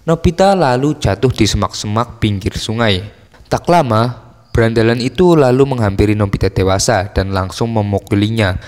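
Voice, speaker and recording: A man's voice: on the low side, animated, moderate pace, a little mellow, big-room sound, a little hiss or hum. A man with an Indonesian accent narrating a story recap in a casual, scripted style. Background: music.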